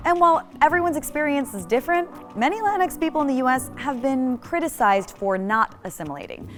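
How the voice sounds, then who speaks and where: Lively young woman narrating, music behind. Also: high-pitched, fairly quick. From United States. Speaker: host and narrator. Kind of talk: scripted narration.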